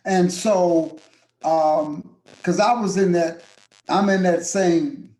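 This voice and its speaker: A man's voice: high voice, fairly even intonation, slow, a little mellow. Upbeat male host in an echoey room. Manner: friendly, conversational, with affirming interjections. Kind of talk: talk show hosting. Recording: echoey room with faint music.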